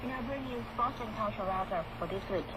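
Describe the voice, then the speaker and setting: A man's voice: high voice, fast talker, loud music behind the voice, poor audio. A man reading scripted international news as an announcer, heard through a shortwave radio. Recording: shortwave radio broadcast drowned in loud static.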